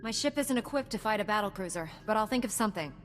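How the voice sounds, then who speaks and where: An actress playing a composed, resolute young Jedi knight in a video game. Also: female voice, mid-range voice, animated, quick pace, a little hiss or hum, lightly acted. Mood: determined. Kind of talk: video game cutscene acting.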